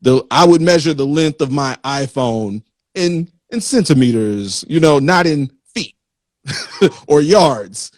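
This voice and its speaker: A man's voice: fairly high pitch, lively intonation, no echo. Casual man interviewer, dry close sound. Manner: informal and slangy, halting, checks in with listener. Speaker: interviewer, magazine host.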